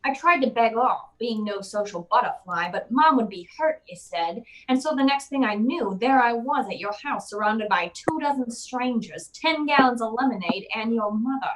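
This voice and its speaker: A woman's voice: a little high, expressive, moderate pace, hardly any pauses, a bit bright, slight background noise, low-quality recording. A woman playing a wry, commanding, world-weary private detective in an old-time radio drama.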